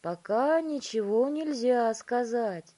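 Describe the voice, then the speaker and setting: Eccentric storybook inventor, a man, voiced by a female reader: emphatic, theatrical, raised pitch, slow stretched words. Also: expressive, mellow. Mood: ominous, measured. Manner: emphatic, drawn-out exclamations.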